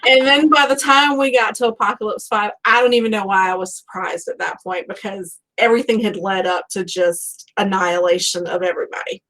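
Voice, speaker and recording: A woman's voice: mid-range voice, a little fast, hardly any pauses, pushed and bright, rough recording. Chatty woman hosting a book show. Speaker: host of a book-fan live show. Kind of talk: casual chatty author interview.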